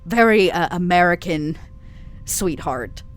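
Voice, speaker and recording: A woman's voice: fairly low pitch, lively intonation, slow. A woman playing a tabletop game, casually describing her character in a musing, piece-by-piece way.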